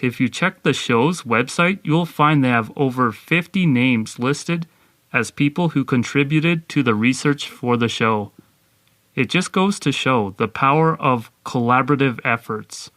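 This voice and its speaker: A man co-hosting a podcast, delivering a scripted review in a measured presenting style. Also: medium pitch, a bit bright, no room sound.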